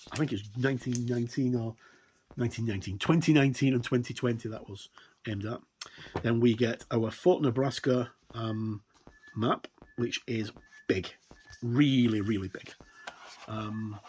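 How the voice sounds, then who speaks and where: A man with an average-pitched voice talks through an unboxing as a tabletop game reviewer. His delivery is casual and a little hesitant. There is some light noise and clicking behind him. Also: darkish tone, poor audio. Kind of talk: casual unboxing commentary with read-out passages.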